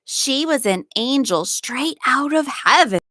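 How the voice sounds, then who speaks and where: A woman voicing a kindly, loyal old male gardener in a storybook, speaking in a gentle, deliberate rustic dialect. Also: sits high, animated, no echo, clean studio sound, pitched above the performer's own voice, full character voice. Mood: tender wonder. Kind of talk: storybook read-aloud.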